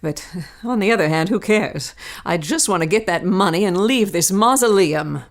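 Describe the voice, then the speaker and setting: An actress playing a scheming governess in an audio melodrama, cooing and prim with a veneer of sweetness. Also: female voice, medium pitch, expressive, moderate pace, natural voice. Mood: impatient, demanding. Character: scheming governess feigning sweetness toward her charges. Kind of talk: melodramatic audio drama acting.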